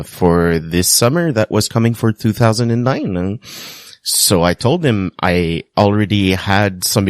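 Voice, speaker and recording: Slow, lively man. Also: sits low, no room sound, studio quality. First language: French. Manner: earnest, self-deprecating, light humor. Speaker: podcast host. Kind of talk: personal storytelling monologue.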